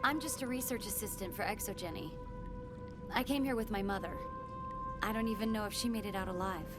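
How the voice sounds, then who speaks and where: An actress playing an anxious, earnest young research assistant in a video game, hesitant and worried. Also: female voice, mid-range voice, a little fast, music in the background, close to an everyday voice. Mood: uneasy.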